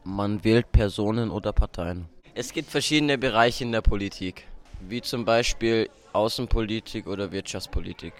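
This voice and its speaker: Teenage boy reading, lowish voice. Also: normal speed. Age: teens. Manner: reads script lines. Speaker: student podcast speaker. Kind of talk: scripted school podcast.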